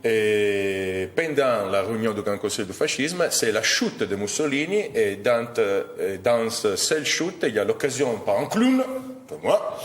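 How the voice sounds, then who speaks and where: A man's voice: fairly low pitch, reverberant, long reverb tail. An Italian man with an Italian accent, a guest actor giving an interview, hesitating often as he searches for his French words. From Italy.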